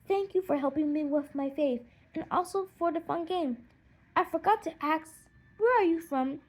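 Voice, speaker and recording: A woman voicing an excitable, grateful young schoolgirl in a children's storybook, with eager exclamations. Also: high voice, normal speed, voice pushed up, close to an everyday voice. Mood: hurried, grateful.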